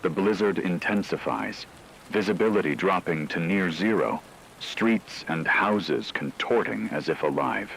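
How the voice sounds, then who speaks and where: Casting: man playing grave radio news host, low voice, measured and ominous horror-drama read, rainy noise underneath. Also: expressive, vocal fry, a bit bright, exaggerated voice, rough recording. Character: grave male radio newscaster reporting supernatural terror.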